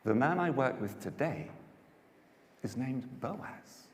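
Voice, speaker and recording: A man's voice: medium pitch, animated, slow pace, darkish tone, slight background noise. A man plays a young daughter-in-law, humble and dutiful, in a dramatised Bible story. She speaks deferentially and gratefully with a varied melody, in a reverberant hall. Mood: gently reporting.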